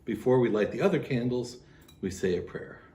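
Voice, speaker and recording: A man's voice: medium pitch, lively intonation, fairly quick, dark tone. A man presenting a holiday ritual in simple, explanatory talk aimed at children. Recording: roomy, echoey indoor sound.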